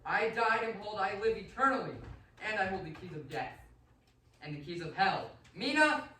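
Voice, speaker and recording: Guy doing imperious vampire count, theatrical radio play, bright forceful voice, far from mic. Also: high-pitched, lively intonation, moderate pace. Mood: proud, commanding. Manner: theatrical, commanding, formal. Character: imperious, seductive, vengeful male vampire count. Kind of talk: amateur radio play acting. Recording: roomy, distant, faintly noisy stage recording.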